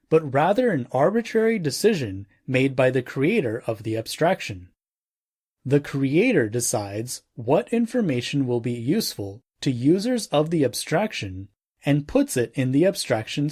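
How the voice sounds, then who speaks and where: A man reading a scripted non-fiction audiobook in a formal, measured way with deliberate phrasing. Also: mid-range voice, a little mellow, slightly rough. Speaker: audiobook narrator.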